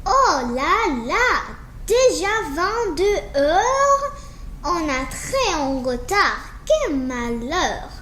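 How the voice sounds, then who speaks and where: A woman's voice: very high-pitched, very animated, extremely slow, sharp tone, full character voice. A woman voicing an eager, dramatic little fairy girl in a children's storybook, exclaiming in a singsong way. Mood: dismayed. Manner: exclamatory, singsong spell-casting. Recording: roomy echo with faint background noise.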